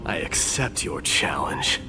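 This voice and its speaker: A male actor playing a proud, stoic, gruff warrior in a video game, terse and haughty. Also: extremely low pitch, very animated, slow, music in the background, exaggerated voice. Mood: coolly confident.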